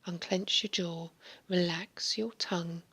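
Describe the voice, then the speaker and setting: A woman speaks in a slow, breathy, mostly whispered voice, leading a guided hypnosis for relaxation and sleep. Her pitch sits on the low side, and her pace is very unhurried. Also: steady tone, a bit bright. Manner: slow, soothing, largely whispered delivery.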